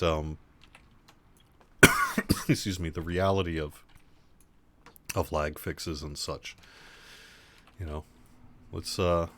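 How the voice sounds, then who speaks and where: Low hoarse man with a sore throat. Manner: halting, many fillers and restarts, goes quiet. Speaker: livestream host for an online game. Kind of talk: casual live-stream chat.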